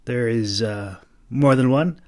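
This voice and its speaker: A man's voice: mid-range voice, slow, close to an everyday voice. Man as masked fantasy warrior, reluctant, wry, evasive, grudging, audiobook character. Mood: cautious.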